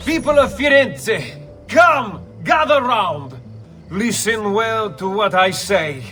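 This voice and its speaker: An actor playing a fanatical, doomsaying preacher of Renaissance Italy in a video game, declaiming. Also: male voice, sits high, expressive, bright, forceful tone, heavily acted. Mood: zealous. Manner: declamatory, slow, drawn-out oratory. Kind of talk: dramatic video game cutscene acting. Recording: music behind.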